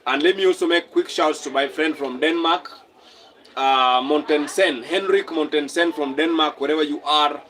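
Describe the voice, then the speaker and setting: A man's voice: on the high side, normal speed, fairly bright tone. A young man from Kenya hosting a casual live stream, reading out viewer comments and repeating his phrases as he talks.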